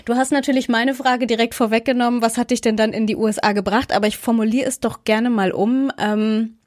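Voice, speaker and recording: A woman's voice: fairly high pitch, steady tone, a little fast, a little mellow, dry recording, clean studio sound. A woman host interviewing a guest, asking long, friendly framed questions.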